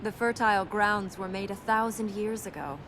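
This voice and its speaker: Actress as solemn young princess, noisy backdrop. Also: female voice, fairly bright tone, lightly acted. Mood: earnest, solemn. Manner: earnest, measured, matter-of-fact. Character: solemn, resolute young princess guiding the hero. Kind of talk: natural video game character acting. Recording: game capture with rumbling background noise.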